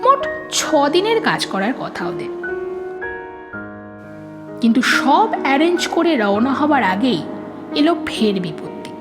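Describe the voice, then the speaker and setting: Woman, dramatic story narration, high voice, swooping intonation, prominent music behind. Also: wildly swooping pitch, unhurried, long pauses. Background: prominent background music.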